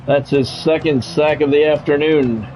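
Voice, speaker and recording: A man streams a football video game and calls it like a sportscast. His voice sits a little higher than average, and he drops into casual, grumbling asides between calls. Light music plays behind him. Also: fairly even intonation, unhurried, dark tone, rough recording. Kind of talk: sports play-by-play commentary mixed with casual stream chat.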